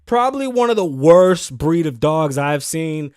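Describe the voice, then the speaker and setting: A man in his thirties with a hoarse voice, hosting a casual podcast monologue from a show set, loose and profane, joking and tossing asides to his crew. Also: fairly high pitch, animated, unhurried, darkish tone, no echo, studio quality. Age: 30s. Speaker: host. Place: show set in Las Vegas.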